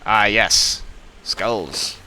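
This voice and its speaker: A man's voice: on the low side, very slow, pushed and bright, slight background noise. A man hosting a let's play with casual, hesitant, rambling gameplay commentary.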